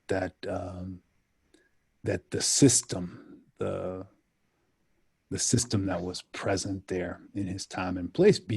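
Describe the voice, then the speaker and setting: A man from Ethiopia speaking as a panelist in a reflective, deliberate and halting way, with emphatic storytelling. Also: low-pitched, dark tone, husky, dry recording.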